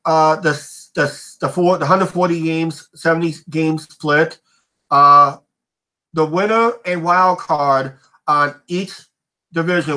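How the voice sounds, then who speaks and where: Middle-aged male host, bright, rambling livestream chatter full of fillers. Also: a little high, rough recording. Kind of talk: casual livestream chat.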